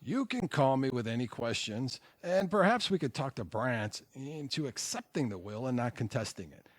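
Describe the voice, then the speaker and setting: A man playing a smooth-talking, scheming old family lawyer in an audio drama, cajoling and self-satisfied. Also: mid-range voice, big pitch swings, moderate pace, no room sound. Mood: reassuring. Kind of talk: audio theatre acting.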